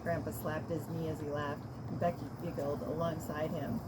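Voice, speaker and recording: A woman, a children's author, reading a storybook aloud in an expressive, amused way. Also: low-pitched, moderate pace. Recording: noisy recording with loud background noise.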